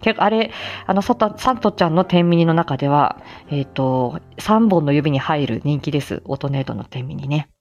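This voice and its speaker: Lively low-voiced woman chatting on a livestream. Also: normal speed, muffled recording, faint music behind. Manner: playful, chatty, self-deprecating. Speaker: livestream host. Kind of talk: casual livestream chat.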